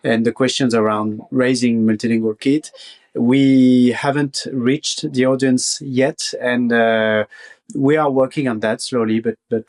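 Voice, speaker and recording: Male guest, French accent, medium pitch, relaxed conversational style with halting, repeated words. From Marseille, France. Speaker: podcast guest. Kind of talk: casual chat.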